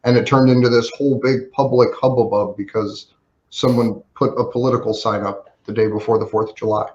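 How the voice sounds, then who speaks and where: A man's voice: mid-range voice, steady tone, a little fast, darkish tone. Earnest guy on a video call, kinda echoey and muffled, persuading people to act. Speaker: activist guest. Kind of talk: casual livestream chat. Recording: roomy, dull video call audio.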